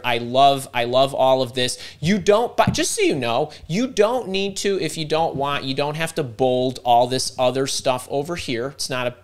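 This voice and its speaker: A middle-aged man hosting a live career workshop over a video call, talking fast and interrupting himself as he thinks aloud. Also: mid-range voice. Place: home room indoors. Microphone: video call webcam.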